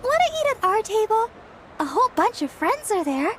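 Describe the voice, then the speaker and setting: A tiny, bubbly fairy sidekick from a fantasy game. The voice is extremely high and squeaky, bouncing in an animated way, with faint noise behind. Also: female voice, moderate pace, heavily acted. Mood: eager. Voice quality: squeaky, childlike. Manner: eager, bubbly, refers to self by name. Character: tiny, perky, childlike floating fairy companion. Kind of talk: cartoonish video game voice acting.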